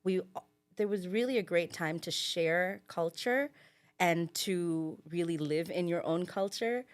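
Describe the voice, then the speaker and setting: A young adult woman, a former student and podcast guest, telling stories in an upbeat, warm way. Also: lowish voice, unhurried. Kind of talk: casual podcast chat.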